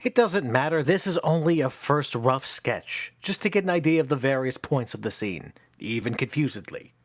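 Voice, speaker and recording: A man's voice: medium pitch, animated, normal speed, dry recording. Man playing fussy, exasperated theatre director in stage drama: pompous, swooping intonation, dry studio sound. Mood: brisk, placating. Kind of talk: stage play acting.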